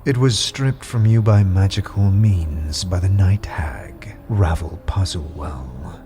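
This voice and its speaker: An actor playing an imperious, menacing and cunning sorcerer in a fantasy audio drama, with haughty theatrical delivery. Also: male voice, sits low, slow. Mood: knowing, ominous. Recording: music behind the voice.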